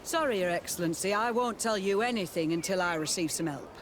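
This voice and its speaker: A high-voiced military captain from a video game, worn out and bitter. He talks bluntly and with sardonic bite. Clear outdoor noise runs behind the voice. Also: brisk, fairly bright tone. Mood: bitter, exasperated. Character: weary, embittered male outpost captain, loyal to his men. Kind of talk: video game cutscene acting.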